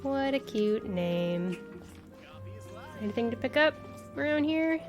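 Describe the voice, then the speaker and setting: Unhurried, high-voiced woman streaming a game. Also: animated, lo-fi sound. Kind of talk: casual livestream gameplay commentary. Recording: game music behind the voice.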